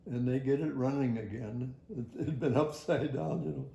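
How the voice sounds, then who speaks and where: An older man tells a personal story from his working life in a halting, rambling, folksy way. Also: mid-range voice, vocal fry, mellow, echoey room, dull audio. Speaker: retired air traffic facility worker. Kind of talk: personal oral history storytelling.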